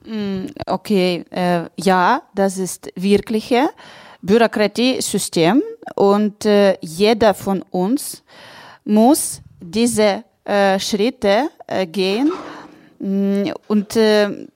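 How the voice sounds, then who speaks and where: A woman who works as a teacher answers questions slowly and hesitantly, pausing often. The recording sounds dry and close. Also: medium pitch, a little mellow, no echo. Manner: hesitant, many pauses. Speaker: panel guest, teacher. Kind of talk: panel discussion.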